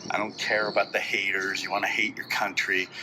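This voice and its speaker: A man's voice: low voice, flat delivery, fairly quick, noisy background. A man from Norway speaking plainly and firmly.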